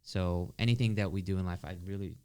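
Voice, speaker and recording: A man's voice: deep voice, fairly even intonation, fast, a little mellow, clear, resonant tone, dry recording. A man co-hosting a podcast, talking casually and conversationally to guests. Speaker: podcast co-host.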